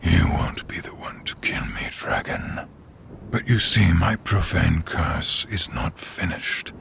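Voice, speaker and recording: A man playing a menacing, bitter dark sorcerer king risen from peasantry, with a gravelly half-whispered rasp and grandiose, taunting delivery in a fantasy audio drama. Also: low voice, full character voice. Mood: sinister triumph. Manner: slow, theatrical, taunting delivery. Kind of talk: fantasy audio roleplay acting.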